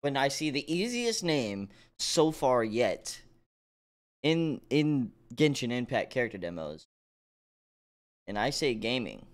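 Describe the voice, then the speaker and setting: A man's voice: mid-range voice, very animated, slow. A man giving casual, slangy reaction commentary with informal exclamations. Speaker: reaction video host. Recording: game music playing underneath.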